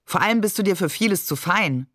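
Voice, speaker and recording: Woman acting a sharp-tongued, exasperated mother. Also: medium pitch, lively intonation, brisk, no room sound, studio quality. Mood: reproachful.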